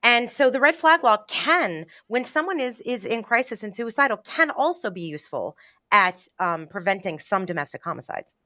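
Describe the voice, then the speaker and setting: A woman speaking as a journalist guest in an interview, giving measured, analytical explanations. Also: on the high side, animated, bright, forceful tone, no room sound, clean studio sound. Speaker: interview guest, journalist covering gun violence. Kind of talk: explanatory radio interview answers.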